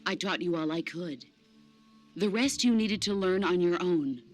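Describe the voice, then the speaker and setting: An actress playing a stern, mature female soldier and mentor in a video game, speaking in a firm, deliberate, commanding way. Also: lowish voice, soft background music, lightly acted. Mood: firm, didactic. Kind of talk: video game cutscene acting.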